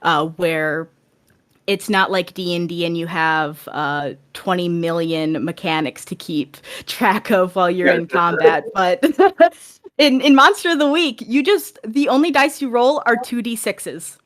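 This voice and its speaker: Woman chatting casually, halting as she thinks. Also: on the low side. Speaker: podcast guest and fellow podcaster. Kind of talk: casual podcast chat about tabletop games.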